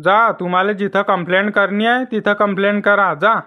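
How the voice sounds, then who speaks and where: A man's voice: high-pitched, fairly even intonation, moderate pace, sharp tone. A dismissive shop official from a comedy cartoon, voiced by a man. He is stubborn and curt. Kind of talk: comic cartoon dialogue.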